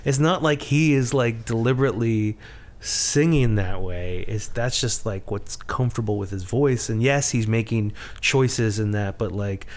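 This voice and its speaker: A man co-hosting a podcast in casual chat, rambling and self-deprecating with halting restarts. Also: medium pitch. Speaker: podcast co-host.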